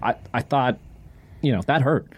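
A man's voice: mid-range voice, moderate pace, breathy edge. A man co-hosting a sports podcast in casual chat, talking emphatically and repeating phrases for dramatic effect.

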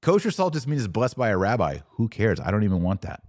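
A man's voice: lowish voice, very animated, fast talker, a little mellow, dry recording, clean studio sound. An American man hosting a podcast, talking to listeners in an emphatic, didactic way that leans into preaching, repeating phrases for emphasis. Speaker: podcast host. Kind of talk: live chat with listeners turning into preaching.

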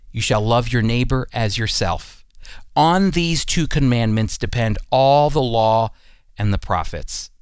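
A man teaching the Bible in an earnest, emphatic and deliberate way, in a sermon style. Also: medium pitch, smooth, dry recording.

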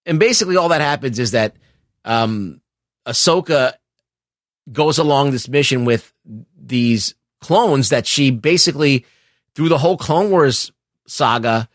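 A man with a mid-pitched voice in a very dry recording. He explains things casually as a co-host, opinionated and blunt, wandering a bit as he goes. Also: a little slow, no echo. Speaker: podcast co-host. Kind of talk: casual explanatory pop culture chat.

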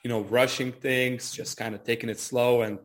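Man, early twenties, medium pitch, casual interviewee, repetitive phrasing, "kinda" and "you know". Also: level tone, normal speed. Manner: repeats words, frequent "kinda" and "you know". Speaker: trader and trading educator being interviewed.

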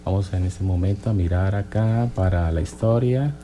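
Male interviewer, low mellow voice, rambling friendly delivery. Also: normal speed, slight background noise. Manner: rambling, friendly questions with many fillers. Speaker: radio host. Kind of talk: casual interview.

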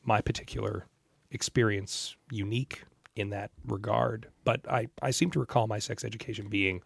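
A man in a casual podcast conversation, telling personal anecdotes in a measured, thoughtful, hedging way. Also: on the low side, fairly even intonation, vocal fry, breathy edge, no room sound.

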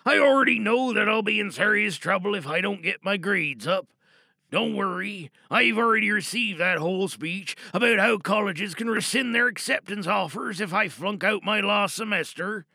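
A man performing a monologue as an anxious, worn-down high school senior who pleads in despair. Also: moderate pace, vocal fry, sharp tone, no echo, heavily acted. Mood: desperate pleading. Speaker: monologue reader. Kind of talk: dramatic audio monologue.

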